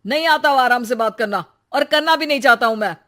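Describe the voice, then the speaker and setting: A woman's voice: high-pitched, fast, bright, forceful tone. A woman voicing a practical but short-tempered young husband in a cartoon story, with a blunt, exasperated manner. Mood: angry. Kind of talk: Hindi cartoon story dialogue.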